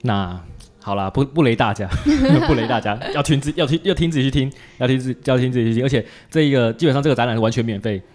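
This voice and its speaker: A man's voice: medium pitch, fast talker, darkish tone. A man with a Taiwanese Mandarin accent, chatting casually as he hosts a podcast interview. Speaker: podcast host.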